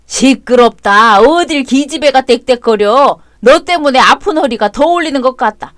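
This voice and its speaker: A woman's voice: high-pitched, expressive, normal speed, a bit bright, no room sound. Woman playing a cranky old grandpa, harsh grumbling, dry close sound.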